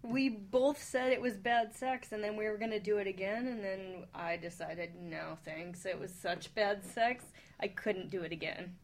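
A woman's voice: medium pitch, unhurried, a little hiss or hum. A woman on a radio talk panel, chatting casually in a blunt, emphatic way.

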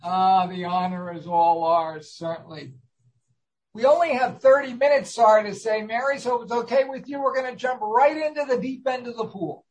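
A man's voice: extremely high pitch, fairly even intonation, moderate pace, poor audio. A man hosting a talk show, interviewing a guest in an earnest, formal, scripted-sounding manner. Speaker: host.

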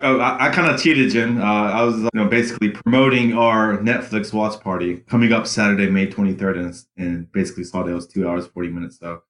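A man's voice: fairly low pitch, fairly even intonation, fairly quick, hardly any pauses, fairly bright tone. A man, executive director of a society, chatting in a friendly, upbeat way on a podcast. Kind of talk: casual podcast chat.

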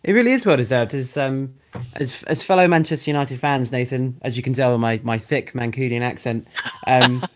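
A man's voice: medium pitch, brisk, a little hiss or hum. A man co-hosting a casual football podcast, enthusiastic and opinionated, often stumbling over his words.

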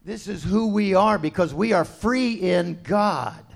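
A middle-aged man preaching a sermon in a conversational way, dropping to quiet asides and rising to loud, emphatic points. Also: high voice, unhurried, creaky. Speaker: pastor.

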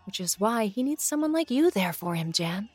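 An actress playing a warm, worried older mother with dry wit in a science fiction audio drama. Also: medium pitch, very animated, normal speed, husky, no room sound, faint music behind. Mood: teasing, fond.

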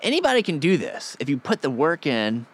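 A man's voice: a little high, wildly swooping pitch, fairly quick. A man interviewing a coding school graduate, enthusiastic and encouraging. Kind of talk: upbeat interview.

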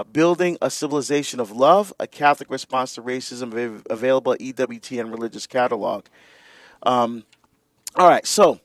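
Relaxed talk-radio host, higher voice. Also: male voice, dry recording, clean studio sound. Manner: relaxed explaining with fillers and laughs.

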